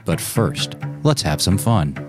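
Deep-voiced man announcing an episode. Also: very animated, slow, over music. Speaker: podcast host. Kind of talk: scripted episode intro.

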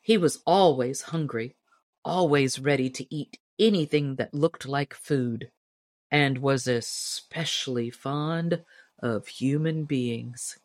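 A woman narrating a storybook tall tale in a measured, expressive storytelling manner. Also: rumbling low, no room sound, studio quality. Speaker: audiobook reader and storyteller.

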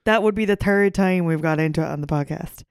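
Clear, lowish-voiced Irish woman. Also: fast, no echo, studio quality. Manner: sweary, teasing. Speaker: podcast co-host. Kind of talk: casual banter with a co-host.

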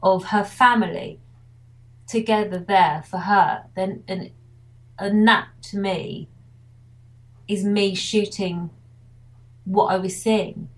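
A woman's voice: mid-range voice, fairly even intonation, takes it slowly, pauses often, poor audio. English woman wedding photographer, chatty interviewee, rambling and restarting. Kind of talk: casual interview.

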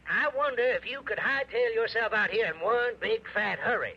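High-voiced folksy small-town newspaperman. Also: normal speed, gravelly, full character voice. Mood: urgent, folksy. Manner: folksy, colloquial, hesitant drawl. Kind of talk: 1960s radio drama acting. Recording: dull old radio broadcast recording.

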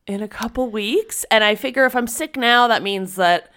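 A woman co-hosting a podcast in casual chat, a little stuffy and raspy from a cold, talking freely and restarting her sentences. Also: fairly high pitch, moderate pace, a bit bright. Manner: chatty, laughs often, restarts sentences. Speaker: podcast co-host.

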